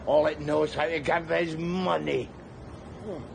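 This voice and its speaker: An actor playing a sardonic, rambling middle-aged down-and-out man, who breaks into shouts. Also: on the high side, fairly even intonation, unhurried, gravelly, noisy background. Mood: mocking. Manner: wry, rambling, bursts into shouts. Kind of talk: screen drama acting.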